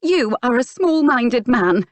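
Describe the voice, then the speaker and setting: A woman's voice: medium pitch, big pitch swings, moderate pace, no room sound, voice pushed up, natural voice. A woman voicing a prim, straight-laced young lady who grows indignant and furious. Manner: indignant, rising to anger.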